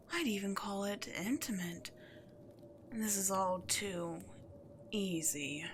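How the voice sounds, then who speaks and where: Haughty, slow-speaking demon queen played by a woman. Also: medium pitch, fairly bright tone, a little hiss or hum, natural voice. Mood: smug, intimate. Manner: theatrical, breathy asides, haughty. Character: imperious demon queen, seductive, gloating, easily exasperated villain. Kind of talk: scripted ASMR audio roleplay addressed to listener.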